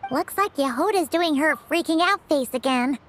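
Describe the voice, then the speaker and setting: A woman voicing a tiny, cheeky fairy-like girl companion in an anime-style video game, with a squeaky childlike voice. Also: animated, moderate pace, a bit bright, slight background noise. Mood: matter-of-fact. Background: background music.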